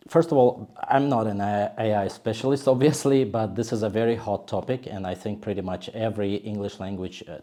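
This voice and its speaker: Man, low pitch, very mellow tone, measured interview explanation, frequent pauses. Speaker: exams executive, interview guest.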